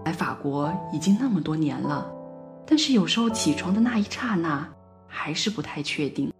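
A woman acts out a bewildered woman living in Paris, in a radio play monologue. Her voice sits fairly low but moves widely in pitch. She tells it deliberately, with theatrical swells, over music. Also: very animated. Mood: wistful, reflective. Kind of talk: dramatic monologue, amateur radio play reading.

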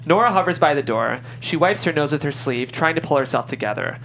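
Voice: mid-range voice, animated, fairly quick, a bit bright, a little hiss or hum. A narrator reading scripted stage directions at a table read.

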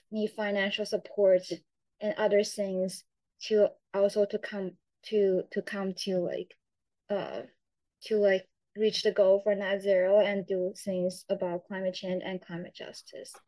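A woman's voice: mid-range voice, little pitch movement, unhurried, mellow, poor audio. A young woman hosting a podcast, asking questions in a hesitant way full of fillers. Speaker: podcast host. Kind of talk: podcast interview.

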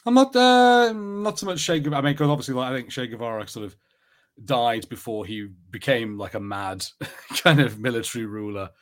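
A man chatting casually as a podcast host, rambling conversationally with halting, restarted sentences. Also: medium pitch, lively intonation. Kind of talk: casual chat.

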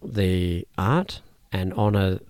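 A man whose first language is Cantonese, a martial arts teacher explaining his teaching in a thoughtful interview, often pausing and restarting. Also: low-pitched, darkish tone, dry recording. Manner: measured, thoughtful, with frequent fillers and restarts. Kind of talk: conversational interview answers.

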